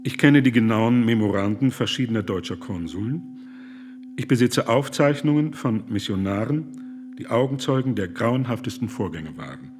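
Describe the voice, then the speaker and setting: A man's voice: medium pitch, animated, moderate pace, darkish tone, close to an everyday voice. An actor playing an earnest German humanitarian in a radio play, pressing his accusations in a pointed, indignant way. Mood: firm.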